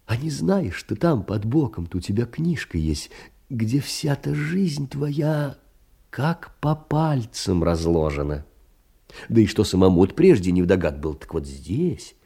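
An actor playing a tender, anxious, humble older clerk, speaking effusively and pleadingly in a theatrical monologue with folksy endearments. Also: male voice, on the high side, creaky, mellow, slightly rough, heavily acted. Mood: tender, musing. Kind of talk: theatrical stage monologue of a Russian classic.

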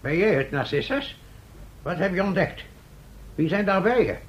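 An actor playing a nervous, timid mayor in a radio play, hesitant and flustered, repeating himself. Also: male voice, sits high, expressive, moderate pace, highs cut off, a little hiss or hum. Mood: anxious. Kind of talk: Dutch radio play acting.

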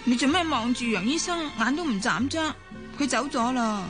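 High-voiced, possessive young girlfriend, Cantonese. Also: lively intonation, moderate pace, music in the background. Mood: jealous, annoyed. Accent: Hong Kong Cantonese. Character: doting, pushy young female hospital assistant, possessive girlfriend. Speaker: radio drama actor. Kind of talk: radio drama acting.

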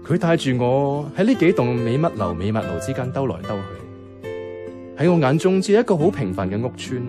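Actor playing bemused reluctant young man, Hong Kong Cantonese accent, lively intonation, wry, reflective radio-drama narrator, faint music behind. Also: medium pitch, a little fast, lightly acted. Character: bemused, reluctant young male companion narrating a night walk.

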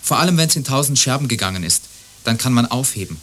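A lonely male neighbour in a radio drama, eager to help. He muses earnestly and rambles along in a medium-pitched voice. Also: animated, quick pace, a bit bright, soft background music. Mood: earnest, musing. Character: lonely, helpful neighbour who loves mending broken things. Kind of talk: radio play monologue acting.

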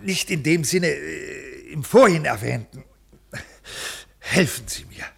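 Husky, desperate ailing official. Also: male voice, fairly high pitch, animated, fairly bright tone, heavily acted. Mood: desperate, indignant. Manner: halting, stammering, breaking off mid-sentence. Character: desperate ailing male civil servant, pleading and indignant. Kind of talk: 1950s German radio play acting. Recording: vintage 1950s radio recording.

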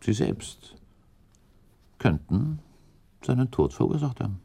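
An actor playing a shrewd, suspicious count in a German radio drama, courteous but pointed in formal, deliberate speech. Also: male voice, mid-range voice, big pitch swings, gravelly, warm tone, breathy edge, heavily acted. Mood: accusing, pointed. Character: shrewd, suspicious count, politely insinuating and provocative.

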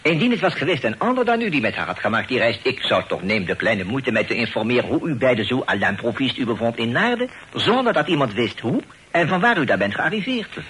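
Sly french painter in an old radio drama, teasing and polite, halting phrases, very lively, bit of noise. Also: male voice, mid-range voice. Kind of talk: Dutch radio play acting. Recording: noisy old radio play recording.

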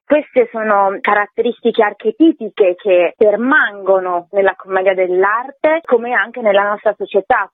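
A woman's voice: mid-range voice, dry recording. Woman on a phone-like radio line. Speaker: theatre director being interviewed. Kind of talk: radio interview, explanatory. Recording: dry, band-limited phone line on radio.

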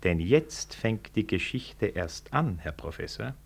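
An actor playing a shrewd, cynical senior ministry official in a 1960s German radio drama, speaking with dry, knowing irony. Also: male voice, on the low side, big pitch swings, slow, vocal fry, full character voice. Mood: wry, teasing.

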